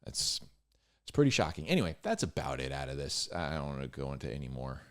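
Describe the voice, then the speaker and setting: Deep-voiced man giving informal Bible commentary. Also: very animated, fairly bright tone. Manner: conversational, halting, repeats phrases for emphasis. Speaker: Bible storyteller and commentator, host.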